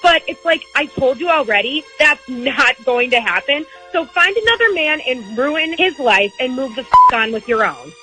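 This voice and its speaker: A woman's voice: sits high, sing-song, normal speed, hardly any pauses, over music. A woman on a radio phone call, arguing in a defiant, combative way and swearing. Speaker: radio show call participant.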